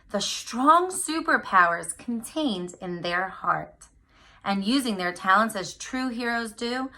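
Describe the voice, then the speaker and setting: Bright, expressive woman reading aloud to children. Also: fairly high pitch. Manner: animated, addressing children directly, drawn-out emphasis on key words. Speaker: read-aloud storyteller for children. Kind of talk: expressive picture-book read-aloud to children.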